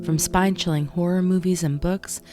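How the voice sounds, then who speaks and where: A woman's voice: lowish voice, fairly even intonation, moderate pace. A woman announcer reading a scripted podcast promo. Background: loud guitar music.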